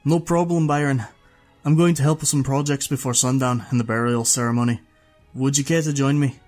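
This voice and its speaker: A tall young stablehand, acted by a male narrator, speaks at a middling pitch. He sounds plain, friendly and obliging, in a fantasy audiobook with faint music behind him. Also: fairly even intonation, brisk, darkish tone, close to an everyday voice. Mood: friendly, helpful.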